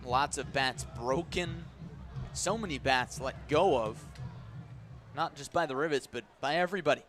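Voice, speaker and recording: Man calling baseball play-by-play, higher-pitched voice. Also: moderate pace, music in the background. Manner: clipped descriptive calls, rising to excitement on plays. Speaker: play-by-play baseball radio announcer. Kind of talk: live sports commentary. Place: outdoor ballpark broadcast position.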